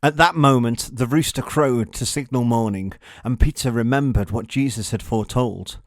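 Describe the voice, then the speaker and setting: A man narrates a read-along story for children. His mid-pitched voice is measured and dramatic, recorded very dry and close with little room sound. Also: no echo.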